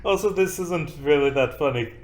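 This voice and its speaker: A man acting as dungeon master, joking and animated, correcting himself as he goes. His voice sits a bit higher than most men's. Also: normal speed, slight background noise. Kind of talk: running a tabletop game.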